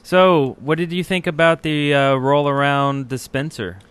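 A man's voice: fairly high pitch, fairly even intonation, a little slow, fairly bright tone, clear, resonant tone. A man co-hosting a gaming podcast in casual, conversational chat. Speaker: podcast co-host.

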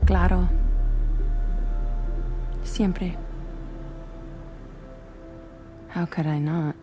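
A woman's voice: lowish voice, steady tone, extremely slow, music in the background. An actress playing a passionate woman confessing an intimate affair in a sensual, absorbed way in an audio drama. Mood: smitten.

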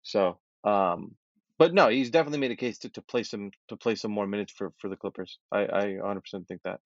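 A man's voice: fairly low pitch, brisk, no room sound. A young man co-hosting a casual sports podcast, laid-back and hesitant, often restarting his sentences. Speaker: podcast co-host. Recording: dull, dry lo-fi mic.

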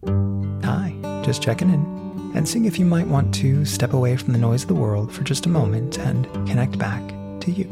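A man's voice: mid-range voice, expressive, normal speed, gravelly. A man reading a scripted promo as a podcast host. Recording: loud plucked guitar music behind.

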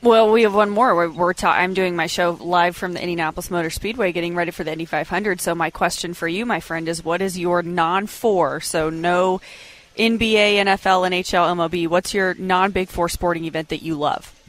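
A woman's voice: fairly low pitch, steady tone, fairly quick, no room sound. A woman co-hosting a sports radio show, asking questions in a casual interview style.

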